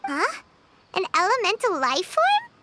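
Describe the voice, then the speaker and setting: A woman voicing a tiny, excitable, childlike fairy companion in an anime game, with a squeaky, perky delivery. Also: measured pace, noisy background, heavily acted. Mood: puzzled. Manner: perky, animated, refers to self by name. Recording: music behind the voice.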